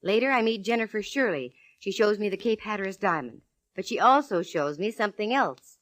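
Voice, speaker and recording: A woman's voice: medium pitch, lively intonation, brisk, fairly bright tone, lightly acted. An American actress playing a spirited, lovestruck woman private eye in a 1940s radio drama. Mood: brisk, sleuthing. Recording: vintage radio recording, organ music.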